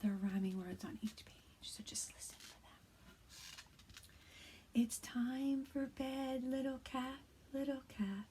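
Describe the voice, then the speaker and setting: A woman in her thirties, a kindergarten teacher, reading a bedtime picture book aloud to young children in a soft, hushed voice that often drops to a whisper. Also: high-pitched, takes it slowly, a little hiss or hum. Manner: gentle and encouraging to young children.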